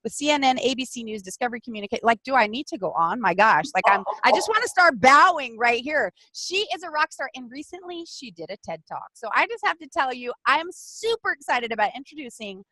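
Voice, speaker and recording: Woman, podcast host, bubbly, gushing, bouncy lively pitch, dry close sound. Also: fairly quick, few pauses, no room sound, rough recording. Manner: bubbly, gushing, laughs often. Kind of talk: live-stream interview.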